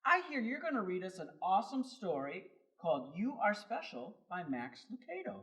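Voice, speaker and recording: Male kids' host: high, hugely swooping intonation, encouraging and exaggerated, slightly distant sound. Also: sing-song, moderate pace, bright, forceful tone. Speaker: children's segment host. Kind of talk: scripted, upbeat chat for children. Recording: distant microphone.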